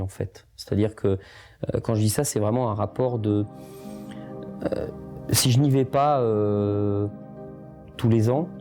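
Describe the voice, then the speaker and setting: A middle-aged man born in Portugal, speaking reflectively in an interview with many hesitations and restarts. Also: on the low side. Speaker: documentary interviewee.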